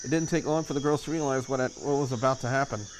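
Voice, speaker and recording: A man's voice: mid-range voice, fast, background noise. A man reading scripted visual novel narration aloud in a dramatic storytelling style. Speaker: reader of a visual novel for a video channel.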